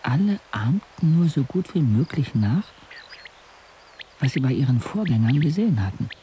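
A man's voice: medium pitch, very animated, moderate pace, dark tone, slight background noise, lightly acted. An actor playing a brooding, disillusioned young man who narrates his life in an introspective, sardonic way for a radio drama. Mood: searching, disdainful. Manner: introspective, sardonic, literary phrasing.

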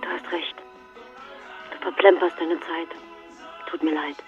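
An actress playing a worried, apologetic wife, subdued and pleading, heard through a telephone filter in a radio play. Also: female voice, sits low, steady tone, measured pace, faint music behind. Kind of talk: naturalistic radio play acting. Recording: tinny phone line.

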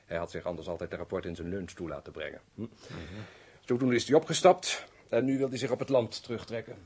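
Deep, husky courtly major. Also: male voice, fairly even intonation, fast talker, a little mellow. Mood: formal. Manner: formal, gallant, muttering asides. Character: courtly, wry military major, bored aristocrat. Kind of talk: radio drama acting.

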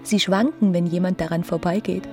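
A woman's voice: fairly low pitch, steady tone, a little fast, mellow, faint music behind, natural voice. An actress playing a dreamy woman at the theatre in a radio play. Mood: enraptured.

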